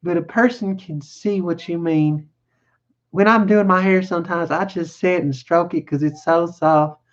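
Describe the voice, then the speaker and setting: Man putting on a high-pitched vain young wife. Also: measured pace, highs cut off, close to an everyday voice. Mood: friendly. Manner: raised pitch, rustic colloquial wording. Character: young married woman, vain, complacent, scornful.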